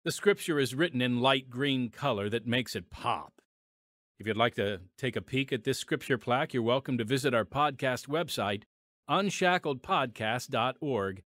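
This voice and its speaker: A man hosting and narrating a scripted radio program with deliberate, dramatic phrasing. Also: mid-range voice, fairly bright tone, no echo, clean studio sound. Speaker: podcast host and announcer. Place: studio voice-over. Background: music.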